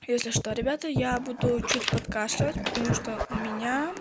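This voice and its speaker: A hoarse woman chatting casually as she plays a video game for her channel, exclaiming when things go wrong. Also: on the high side, normal speed, heavy background noise. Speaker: gaming channel host.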